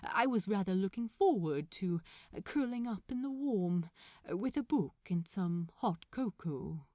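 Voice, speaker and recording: A woman voicing a polite, bookish, gentle man with a proper, hesitant manner in fan fiction dialogue. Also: medium pitch, expressive, a little slow, dry recording, clean studio sound, close to an everyday voice.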